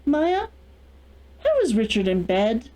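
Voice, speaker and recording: Woman acting a defiant, high-voiced young heiress. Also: big pitch swings, slow, warm tone. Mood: commanding. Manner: indignant, clipped retorts. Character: defiant young heiress, indignant, stubborn, proud.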